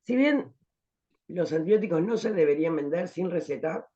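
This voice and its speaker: A woman's voice: fairly low pitch, expressive, moderate pace, mellow, highs cut off. A woman teaching an online pharmacology class, explaining with rhetorical questions and tagging her points with "¿eh?".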